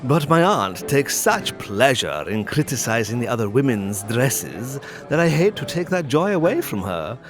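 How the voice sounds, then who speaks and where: A man playing a frail, fussy, self-pitying hypochondriac gentleman suitor in a farcical audio drama. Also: medium pitch, sing-song, moderate pace, hardly any pauses, music in the background, lightly acted. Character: frail, fussy, stooped thin hypochondriac suitor, Sir Charles.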